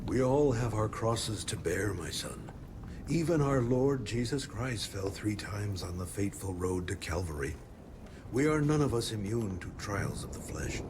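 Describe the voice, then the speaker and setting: Gentle frontier priest, low voice, fatherly and preachy, clear background noise. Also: lively intonation, a little slow. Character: kindly folksy frontier padre, preachy.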